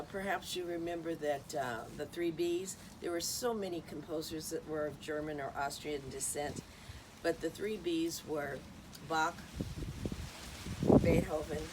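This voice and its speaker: A woman's voice: low-pitched, steady tone, slow, long pauses, background noise. A woman hosting an informal talk on music history, rambling and chatty with many ums and uhs. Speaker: host.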